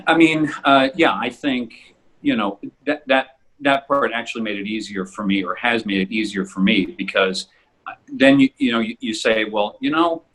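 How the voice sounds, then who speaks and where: Man, low husky voice, halting delivery, repeated words, casual podcast discussion. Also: a bit bright, rough recording. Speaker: audio drama podcast co-creator. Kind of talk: casual discussion of their own work.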